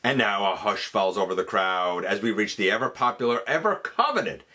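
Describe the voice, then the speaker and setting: A man's voice: lowish voice, lively intonation, normal speed, bright, forceful tone. A man hosting a countdown with enthusiastic commentary, wordy and theatrical in his emphasis, dropping into conversational asides. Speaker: host of a countdown video.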